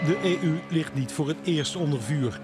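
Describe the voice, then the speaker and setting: A man's voice: a little high, normal speed, loud music behind the voice. A Dutch man reading scripted news narration as a reporter.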